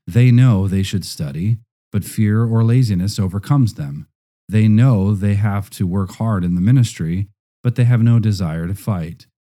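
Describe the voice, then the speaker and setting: Deep, very mellow male narrator. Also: moderate pace.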